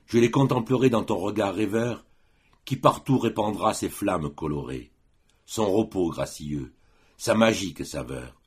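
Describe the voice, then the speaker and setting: Deep, slow, husky man reciting poetry. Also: expressive. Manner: measured, declamatory, with pauses between phrases. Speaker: volunteer audiobook reader.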